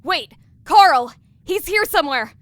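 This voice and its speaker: A woman's voice: very high voice, lively intonation, a little slow, fairly bright tone, dry recording, exaggerated voice. A woman playing a shaken but hopeful survivor in a horror audio drama, thinking aloud to herself. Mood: excited.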